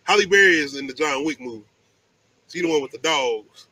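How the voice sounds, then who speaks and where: A man's voice: on the high side, fairly quick, sharp tone, a little hiss or hum, low-quality recording. A middle-aged man, hosting a casual livestream chat in a playful, slangy way. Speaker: host of a movie talk livestream. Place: indoors, home livestream. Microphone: video call.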